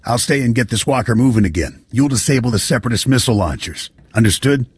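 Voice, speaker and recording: An actor playing an easygoing veteran army lieutenant and mechanic in a video game, with a folksy, chummy manner. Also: male voice, medium pitch, animated, fast, breathy edge, no room sound. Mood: brisk, encouraging.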